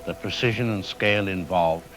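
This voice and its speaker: A man playing a cautious, troubled scientist in a scripted radio drama, explaining technical matters in measured, formal language. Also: sits low, soft background music, low-quality recording. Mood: firm, guarded. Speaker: expert guest. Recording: steady rain-like background noise.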